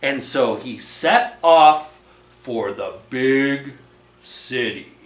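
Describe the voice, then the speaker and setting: A man reading a storybook aloud to children as a storytime host, expressive, with dramatic pauses and emphasis. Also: mid-range voice. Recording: roomy, echoing room sound.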